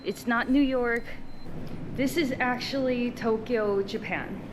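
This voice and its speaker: Lady vlogging outside on a street, highish voice, noisy traffic sounds in the clip. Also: slow pace. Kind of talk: on-location vlog commentary to camera. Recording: clearly noisy outdoor clip, vehicle sounds.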